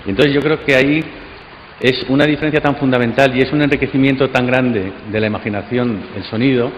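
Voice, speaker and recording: A man's voice: mid-range voice, steady tone, brisk. A man giving a spontaneous, reflective comment in a conference discussion, repeating words for emphasis. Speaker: audience participant. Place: conference hall. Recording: echoey hall, faint noise.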